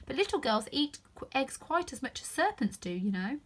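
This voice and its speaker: A woman doing a high, earnest little girl. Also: very animated, normal speed. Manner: earnest and indignant. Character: polite, puzzled little girl.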